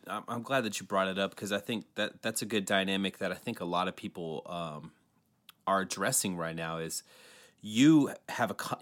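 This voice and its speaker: A man's voice: low voice, a bit bright. A man hosting an interview, affirming his guest and repeating words as he frames his questions. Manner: affirming, with frequent repetitions. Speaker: host. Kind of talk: conversational interview.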